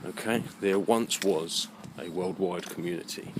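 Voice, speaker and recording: A man giving a rambling, unscripted monologue in a halting, musing way, often repeating his phrases. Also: low-pitched, noisy background. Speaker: vlogger.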